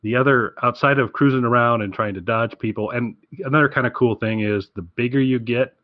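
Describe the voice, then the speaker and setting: A man's voice: medium pitch, a little fast, no room sound, lo-fi sound. A man hosting a podcast, chatting casually about his recommendations in an enthusiastic, rambling way. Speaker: podcast host.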